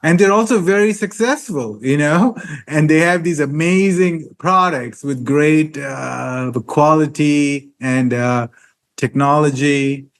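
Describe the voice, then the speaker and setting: Male host, hesitant questions, slow pace, smooth clear voice. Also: on the high side. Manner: hesitant, many filler pauses. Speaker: interview host. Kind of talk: interview questions.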